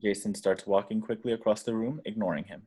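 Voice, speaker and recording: A man reading screenplay action directions aloud in a deliberate, dramatic way. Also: on the low side, fairly even intonation, dark tone, slightly rough. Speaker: stage directions reader.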